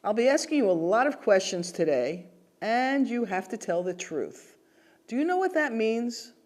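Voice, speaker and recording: A woman playing an official interviewer, reading scripted questions in a formal, measured way. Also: a little high, big pitch swings, a little mellow. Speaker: role-played citizenship interviewer.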